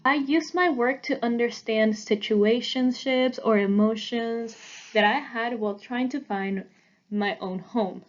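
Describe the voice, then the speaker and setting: Young woman, twenties, earnest presentation, halting restarts, raised pitch, lo-fi sound. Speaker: art student presenting her work. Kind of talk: prepared presentation.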